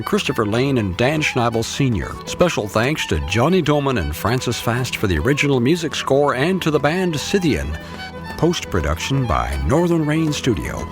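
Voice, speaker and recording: Male announcer, mid-pitched and animated, scripted credits read over music.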